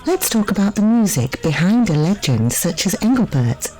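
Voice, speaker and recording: A woman interviewer reading out scripted questions in a measured way. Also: medium pitch, sing-song, mellow, faint music behind, poor audio.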